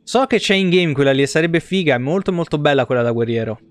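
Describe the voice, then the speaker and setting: A man's voice: on the high side, animated, fast, no echo, faint music behind. A man gives casual, joking, sweary live commentary on a game. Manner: informal, joking, swears freely. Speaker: live streamer. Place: indoor room, seated at a desk.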